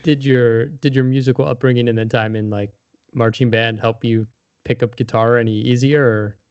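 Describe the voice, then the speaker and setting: A man hosts a casual interview in a medium-pitched voice. The sound is very dry and a little dull, as he puts informal questions and short responses to his guest. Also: fairly even intonation, normal speed, darkish tone, dry recording. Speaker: podcast host, interviewer. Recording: dry, slightly dull lo-fi audio.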